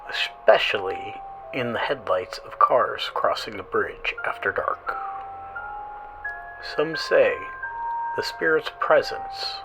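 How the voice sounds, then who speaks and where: A man hosting a horror radio show, reading creepy stories in a slow, eerie, dramatic way, with music playing under his voice. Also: medium pitch, background noise. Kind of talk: scripted horror narration.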